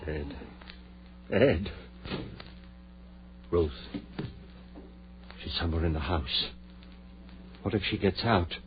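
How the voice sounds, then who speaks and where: An actor playing a guilt-ridden, desperate doctor in a 1940s radio horror drama, melodramatic and overwrought. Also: male voice, low voice, slow, long pauses. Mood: horrified, guilty. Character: anguished guilt-ridden doctor, desperate, devoted to revived woman. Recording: muffled, noisy, humming vintage 1940s radio recording.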